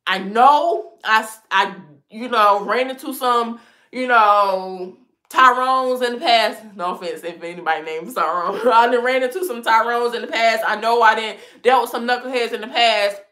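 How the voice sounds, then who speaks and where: A woman's voice: on the high side, normal speed, bright, forceful tone. A woman in her thirties, stuffy from a cold, chatting frankly with viewers about dating and often laughing. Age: 30s. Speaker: lifestyle vlogger. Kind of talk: casual chat answering viewer comments.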